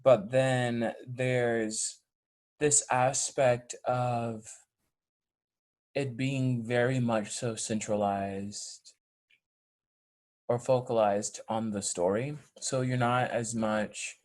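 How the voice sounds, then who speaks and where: A male stage actor talking reflectively in an interview, with thoughtful, deliberate phrasing. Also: medium pitch, steady tone.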